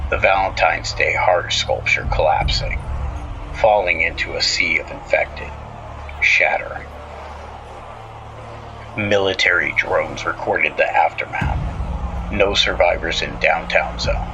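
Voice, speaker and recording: A man's voice: sits low, creaky, bright, forceful tone, highs cut off. A man narrating a scripted horror story as a show host, dramatically, in short clipped phrases. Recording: music bed under the voice. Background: music.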